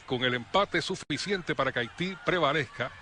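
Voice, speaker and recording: A man calling play-by-play for a youth football match, brisk and lively on attacks. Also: on the high side, slight background noise. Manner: brisk, rising excitement on attacks. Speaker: play-by-play commentator.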